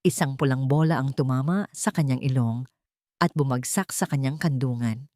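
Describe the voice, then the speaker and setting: A woman narrating a dramatic romance story in a soft, emotive way. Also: extremely low pitch, normal speed, dry recording, clean studio sound.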